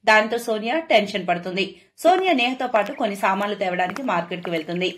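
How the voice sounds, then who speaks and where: Woman narrating a moral story, medium pitch. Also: expressive, quick pace.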